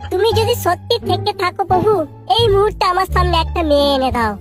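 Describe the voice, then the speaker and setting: A performer voicing a crude, lovesick young village lad in a comic cartoon, whiny and foul-mouthed, with a pitched-up voice. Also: female voice, expressive, fairly quick. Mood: pleading. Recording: music behind the voice.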